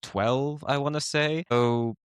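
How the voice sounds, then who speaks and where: Irish man with a smooth, clear voice. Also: mid-range voice, steady tone, takes it slowly, dry recording, clean studio sound. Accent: mixed, mostly American-sounding. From Ireland. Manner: rambling, self-deprecating, enthusiastic. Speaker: podcast guest. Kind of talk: casual interview chat.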